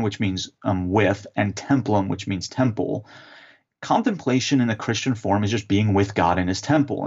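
A man answering interview questions as an author and theologian, explaining in a measured, teacherly way with some fillers. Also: lowish voice, creaky, darkish tone, breathy edge, lo-fi sound.

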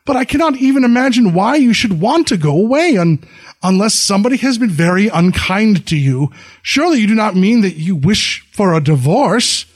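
A steady samurai husband speaks with formal, considerate calm, as a male narrator voices him. His tone is fairly high for a man and stays even-tempered. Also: moderate pace. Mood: earnest. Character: gentle, honorable samurai husband.

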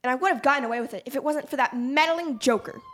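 Defensive comic-book villain, played by a young female, high-pitched, amateur radio drama. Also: fast, fairly bright tone, slight background noise. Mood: angry confessing. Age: child or teen. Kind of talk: amateur youth radio play acting.

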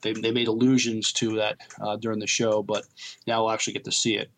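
A man's voice: on the low side, little pitch movement, fast talker. A man co-hosting a podcast, talking and reading news in a hesitant way, often stumbling over words. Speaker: podcast co-host.

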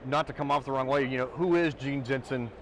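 A man's voice: medium pitch, brisk, a little hiss or hum, poor audio. A man hosting a podcast interview, rambling through his questions and swearing casually. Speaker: podcast host. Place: trade show booth, outdoors.

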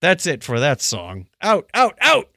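An American man co-hosting a podcast in casual, jokey chat with an animated delivery. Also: a little high, a little slow, vocal fry, a little hiss or hum. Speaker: podcast co-host.

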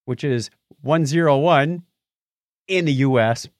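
A man's voice: a little high, measured pace, no room sound, clean studio sound. A man co-hosting a casual show, bantering in a jokey, chatty way through news items and announcements. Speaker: podcast co-host.

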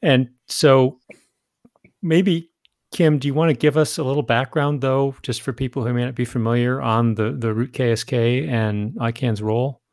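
A man's voice: medium pitch, steady tone, moderate pace, darkish tone, no room sound, clean studio sound. A man hosting a podcast who chats casually with a guest and often restarts his sentences. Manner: chatty, with repeated restarts. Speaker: podcast host. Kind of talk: casual interview chat.

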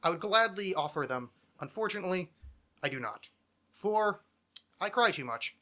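Male podcast host, thirties. Somewhat high, bright voice; loose, self-deprecating, mock-dramatic chat. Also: animated, normal speed. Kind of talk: casual rambling podcast chat.

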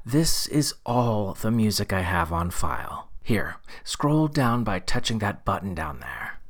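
A man's voice: fairly low pitch, big pitch swings, unhurried. A man playing an earnest, awkward spaceship pilot in an audio drama, speaking in an instructive way with music behind him. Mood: helpful.

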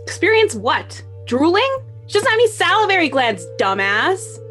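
A woman's voice: very high-pitched, very animated, moderate pace, fairly bright tone, faint music behind. A woman narrating as podcast host, giving dry, blunt, sarcastic commentary on a dramatic reading.

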